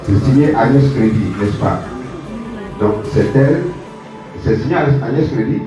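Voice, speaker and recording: A man answers audience questions as an author, speaking deliberately and repeating phrases for emphasis. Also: medium pitch. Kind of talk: spoken answers at a public book presentation. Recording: echoey hall, distant mic, music behind.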